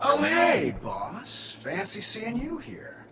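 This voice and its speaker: Cocky ruthless game ai assistant, brash n sarcastic, high voice all over the place. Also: male voice, wildly swooping pitch, takes it slowly, creaky, sharp tone, faint music behind, heavily acted. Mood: breezy, smug. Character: cocky, ruthless, wisecracking AI assistant of a weapons maker. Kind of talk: video game voice acting.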